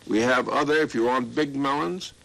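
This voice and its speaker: Male old-time radio pitchman, heavy fry, bright edge, slightly crackly archive sound. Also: normal speed. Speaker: radio salesman selling by catalog. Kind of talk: old radio sales talk.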